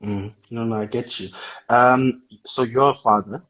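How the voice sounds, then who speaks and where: A man interviewing a guest over a video call, soft-spoken and giving frequent murmured acknowledgements. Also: medium pitch, fairly even intonation, measured pace, highs cut off. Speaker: interviewer, magazine online editor.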